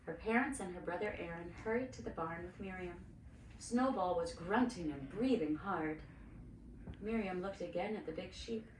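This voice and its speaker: A woman reading a children's story aloud expressively, with dramatic, deliberate phrasing. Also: lowish voice. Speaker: storybook reader, cantor. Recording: roomy, distant mic with faint noise.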